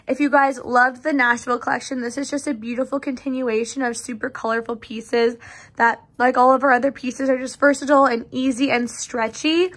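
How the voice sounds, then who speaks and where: Bubbly high-voiced woman, slightly raspy. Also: a bit bright. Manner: bubbly and chatty, addressing viewers as you guys. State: slightly raspy from losing her voice. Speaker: clothing brand try-on host. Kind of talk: casual try-on vlog. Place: bright living room with plants. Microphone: phone held up for a mirror selfie.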